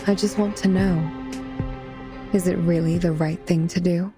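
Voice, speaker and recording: Casting: woman plays aloof, conscience-troubled game character, lowish weary voice over music. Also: steady tone, a little slow, mellow, close to an everyday voice. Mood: weary doubt. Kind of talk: dramatic video game acting. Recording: music under the voice.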